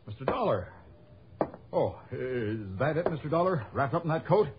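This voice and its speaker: A man's voice: on the high side, lively intonation, moderate pace, noisy background. An actor playing a devious, nervous importer in his sickbed, stammering and evasive, in a radio drama. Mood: eager. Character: devious bedridden male importer, anxious and evasive. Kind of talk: mid-century radio drama acting. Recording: dull, muffled vintage radio recording.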